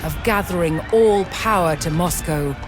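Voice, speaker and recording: A woman delivering scripted, documentary-style game narration in a measured, solemn storytelling manner. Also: lowish voice. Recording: music bed behind the narration.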